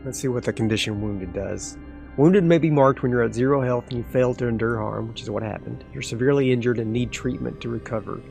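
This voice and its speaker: Casting note: a man with a gravelly voice, narrating a solo dice game with excitable asides, faint music behind. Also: medium pitch, quick pace. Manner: talks through dice rolls, excitable asides. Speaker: solo tabletop game player. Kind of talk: solo actual play, thinking aloud.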